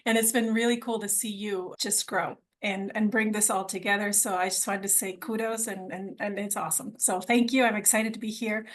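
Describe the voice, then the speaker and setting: A woman's voice: medium pitch, steady tone, fairly quick, few pauses. A middle-aged woman, originally from Argentina, talks about her path as a guest on a podcast. She is eager and conversational, repeating words as she restarts her sentences, with an ordinary mid-height voice. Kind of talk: conversational interview storytelling.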